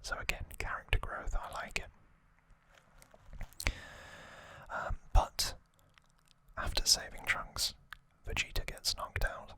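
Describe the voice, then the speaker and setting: Slow whispering ASMR man. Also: sharp tone. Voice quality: breathy, almost entirely unvoiced whisper. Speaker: ASMR host. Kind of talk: whispered ASMR trivia commentary to viewers.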